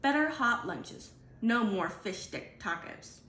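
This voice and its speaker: An earnest, determined schoolgirl from a picture book, acted out by a woman reader. She declares things emphatically, with big swings in pitch, in a room with audible echo. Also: lively intonation, slow, sharp tone, heavily acted. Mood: rallying. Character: determined, earnest schoolgirl running for class president.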